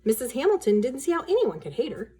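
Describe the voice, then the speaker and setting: A woman reading a children's story aloud in an expressive narrating style, with casual asides. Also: sits high, fast, husky.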